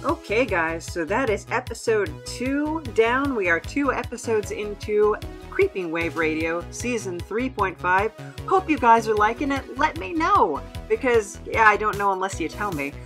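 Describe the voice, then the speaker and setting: A woman's voice: mid-range voice, animated, measured pace. Casual lady podcaster signing off, chatty, faint music under her. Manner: informal, chatty. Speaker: podcast host. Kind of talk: casual outro to listeners.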